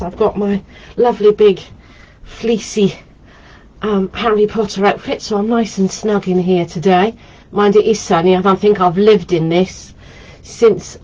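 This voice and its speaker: A woman's voice: medium pitch, lo-fi sound. A woman and holistic therapist, nasal and congested from a head cold, chatting in a rambling, meandering way with plenty of ums on a live stream from home. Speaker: holistic therapist hosting a weekly live video.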